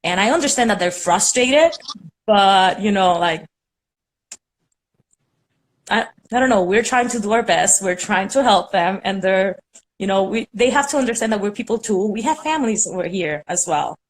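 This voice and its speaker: A woman's voice: medium pitch, expressive, normal speed, rough recording. A young woman from Puerto Rico with a Puerto Rican accent, Spanish her first language, chatting on a video call and rambling with many fillers. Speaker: caller on a live stream. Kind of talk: casual chat.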